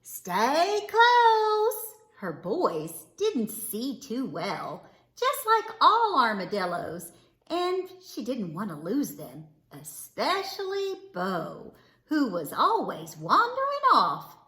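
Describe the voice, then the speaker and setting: A woman narrates a children's picture book in a high, very animated voice. Her phrasing is slow and theatrical, and the room adds a clear echo. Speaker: storybook reader. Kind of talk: expressive children's read-aloud narration. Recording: roomy, echoing indoor sound.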